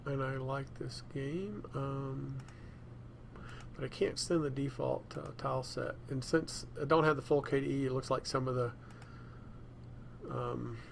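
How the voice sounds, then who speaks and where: Guy doing a screencast, kinda creaky voice, mumbles along thinking out loud, some room noise and typing. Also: medium pitch, steady tone, unhurried. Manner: rambling, thinking aloud while working. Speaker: software tutorial presenter. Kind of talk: casual screencast demonstration. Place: indoors at a computer. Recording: noisy room with keyboard typing. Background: room noise and keyboard typing.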